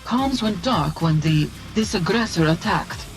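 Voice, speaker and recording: An actress playing an authoritative, urgent military adviser giving video game mission briefings. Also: female voice, lowish voice, expressive, a little slow, soft background music.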